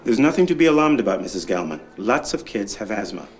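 A middle-aged man playing a reassuring family doctor, explaining things in a measured, instructive way. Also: lowish voice, very animated. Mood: calm, reassuring. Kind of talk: scripted educational explanation.

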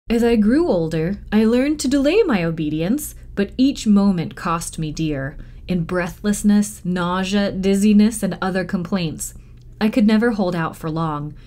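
A woman reading a children's novel aloud in expressive storytime narration. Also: lowish voice. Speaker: library storytime reader.

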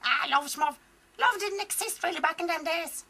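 A young woman voicing a foul-mouthed, gleeful old Irish granny ghost in a radio comedy, with a high, cackling, crude voice. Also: normal speed, gravelly, pushed and bright, full character voice. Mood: brash. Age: young adult. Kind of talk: improvised radio comedy acting. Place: large private home.

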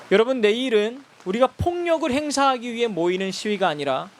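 A man giving a formal, exhortatory rally announcement, speaking solemnly and emphatically in short, deliberate phrases. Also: high-pitched.

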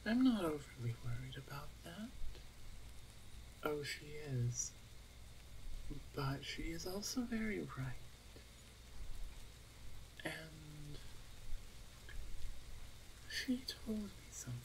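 Woman acting a slow, soft-spoken orc huntress. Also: low-pitched, big pitch swings, background noise, full character voice. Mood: concerned, measured. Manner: soft-spoken, hesitant, measured, often dropping to a whisper. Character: orc huntress, dominant, reserved, protective younger sister. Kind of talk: ASMR roleplay.

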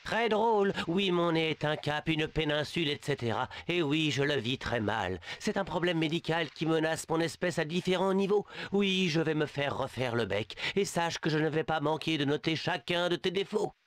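A man's voice: a little high, animated, moderate pace, hardly any pauses, lightly acted. A man voicing a touchy male bird ashamed of his big beak, sarcastic and self-pitying, in a video game dub. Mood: sulky.

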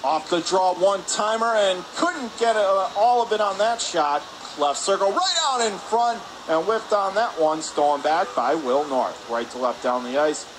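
A man doing live radio play-by-play hockey commentary in rapid, descriptive calls. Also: sits high. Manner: rapid descriptive calls, excited on scoring chances. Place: press area above rink in an indoor arena. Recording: noisy ice arena, steady background din.

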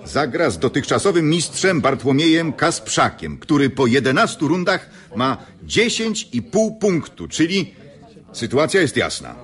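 An actor playing a formal, courteous tournament announcer in a radio drama, ceremonious and emphatic. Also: male voice, a little high, sing-song, a little slow, a bit bright.

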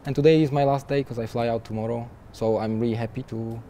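Young male developer guest, warm mellow voice, easygoing interview talk that trips over itself. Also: medium pitch, steady tone, fast talker, a little hiss or hum. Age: young adult. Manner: chatty, restarts often with repeated words, laughs lightly. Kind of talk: conversational interview answers. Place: conference interview booth, seated in armchair.

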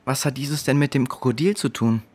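A man playing a curious, excitable young boy, eager and plain-spoken, in a children's audio drama. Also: mid-range voice, fairly quick, husky, natural voice.

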